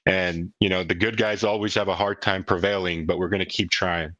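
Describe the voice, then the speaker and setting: A man's voice: deep voice, fairly even intonation, fairly quick, fairly bright tone, no echo, muffled recording. A man speaking casually and off the cuff as a project leader on a group call, earnest and emphatic, often repeating himself for stress. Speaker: crypto project leader hosting a community call. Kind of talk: casual unscripted group audio talk.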